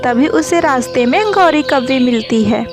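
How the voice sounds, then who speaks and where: A woman's voice: sits high, animated, moderate pace. A woman narrating a scripted children's bird story as the storyteller. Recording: music behind the voice.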